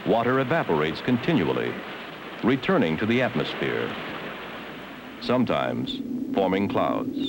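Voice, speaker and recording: Male documentary narrator, low and formal, varied intonation, vintage 1970s film sound. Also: heavy background noise. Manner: measured, formal, instructional. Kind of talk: scripted educational film narration.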